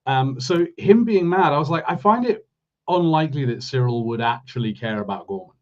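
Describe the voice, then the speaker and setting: Male podcast co-host, mid-pitched, conversational and rambling, recorded a little dull. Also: animated, a little fast. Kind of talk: casual review chat.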